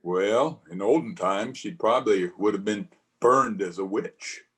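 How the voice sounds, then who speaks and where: A man's voice: lowish voice, unhurried, lightly acted. A man playing a doting, reassuring husband in an old-time radio play. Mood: dismissive, reassuring. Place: home, over a video call.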